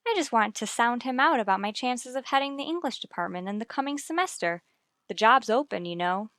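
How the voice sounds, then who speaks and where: An American actress playing a wry, sardonic schoolteacher with dry delivery in a vintage radio sitcom. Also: female voice, on the high side, lively intonation, a little fast, a bit bright, dry recording. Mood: coy, wry.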